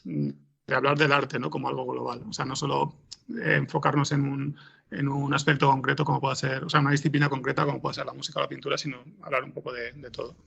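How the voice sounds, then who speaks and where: A man with a Spanish accent from Spain and a very creaky, fry-laden voice. He talks off the cuff as an interview guest, bright in tone, circling and repeating himself as he searches for words. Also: mid-range voice, little pitch movement, fast, muffled recording. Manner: hesitant, rambling, with frequent repeated words and tag questions. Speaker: interview guest, podcast scriptwriter. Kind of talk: unscripted interview answers.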